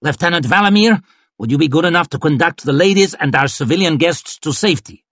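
Audiobook army chief, acted by a male reader: authoritative, brisk, barking, pitched up, husky. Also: no room sound. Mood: brisk command. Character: commanding, booming older lord marshal of an army.

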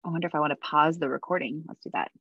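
A woman's voice: low voice, fairly even intonation, racing, slightly rough, no echo. A woman hosting a Navy mentoring session over a video call, chatty, with many fillers and easy laughs. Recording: dull dry video-call audio.

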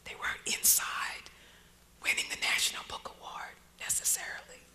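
Whispering, very bright-voiced woman poet. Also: moderate pace. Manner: hushed whispering delivery. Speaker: poet, guest speaker. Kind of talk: personal storytelling at a live talk.

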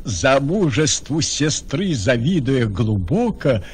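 An actor playing an indignant old noble father in a radio play, speaking theatrical verse in a husky voice. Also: mid-range voice, very animated, slow pace, exaggerated voice. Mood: grave, confiding.

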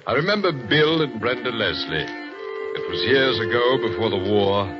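An actor playing a ship surgeon who narrates eerie tales in a 1940s radio drama, measured and brooding, on a dull old broadcast with music. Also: male voice, medium pitch, very animated, lightly acted.

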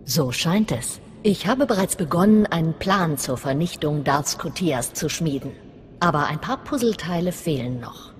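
A woman playing a scheming, cold and deliberate Sith master in a video game. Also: lowish voice, slight background noise, close to an everyday voice. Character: scheming, bitter female Sith master plotting against a rival. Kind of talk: scripted video game acting in German. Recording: long reverb, music under voice.

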